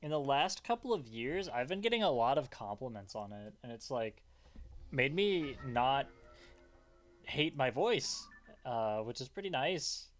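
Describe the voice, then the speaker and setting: A young man streaming a game, chatting casually with a calm, relaxing voice, rambling loosely and swearing often. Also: mid-range voice, unhurried, faint music behind. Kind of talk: casual gaming stream chat.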